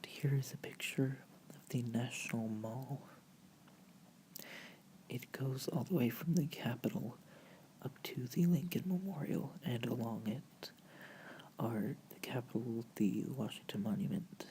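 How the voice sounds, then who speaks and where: Soft breathy whisper, dark mellow tone, gravelly fry, informal rambling ASMR slideshow narration, from the United States. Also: medium pitch, lively intonation, a little slow, a little hiss or hum, poor audio. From United States, family from Virginia. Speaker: video creator and host.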